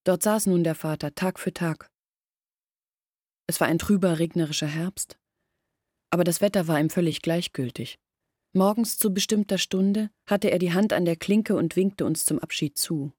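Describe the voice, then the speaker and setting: A woman's voice: fairly low pitch, moderate pace, dry recording, studio quality, close to an everyday voice. Woman playing a sorrowful young village woman. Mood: somber. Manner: quiet, sorrowful storytelling. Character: young village woman recounting her family's ruin. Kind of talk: radio play narration. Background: faint music.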